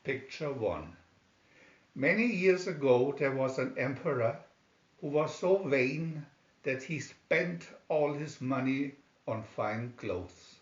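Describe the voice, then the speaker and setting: Male storyteller, slow and deliberate, lively intonation, fairy tale narration, dull lo-fi sound. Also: medium pitch. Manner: deliberate, expressive read-aloud delivery. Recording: roomy, dull lo-fi home recording.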